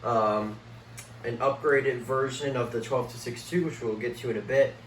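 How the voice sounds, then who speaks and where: A man giving a casual, unscripted show-and-tell, speaking haltingly and trailing off at the ends of his thoughts. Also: medium pitch, fairly even intonation, reverberant. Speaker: collector presenting a home video tour. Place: room at home among many radios. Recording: clear background noise and room ambience. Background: weather radio broadcast playing.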